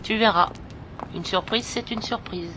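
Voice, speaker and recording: A woman playing a brisk, encouraging and slightly bossy companion to a blind girl in an amateur audio drama. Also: mid-range voice, natural voice. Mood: upbeat, insistent. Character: brisk, upbeat woman looking after a blind teenage girl. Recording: clear background noise.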